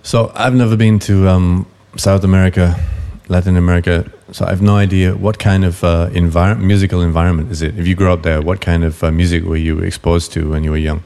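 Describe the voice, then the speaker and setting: A man's voice: low-pitched, flat delivery, a little fast, vocal fry, hardly any pauses, warm tone. A man co-hosting a casual interview, asking questions with frequent restarts. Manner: stumbling, many restarts. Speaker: podcast co-host.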